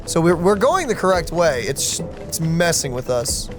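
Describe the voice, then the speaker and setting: A young adult gamer gives casual, excitable let's play commentary. The voice is mid-pitched and animated, full of slang, with game music running behind it. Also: moderate pace.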